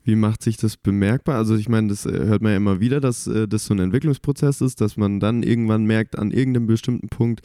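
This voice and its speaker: A man's voice: on the low side, fairly even intonation, quick pace, hardly any pauses, dark tone, no echo, clean studio sound. A young man co-hosting a casual podcast, asking questions with frequent hesitations and filler sounds. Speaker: podcast co-host.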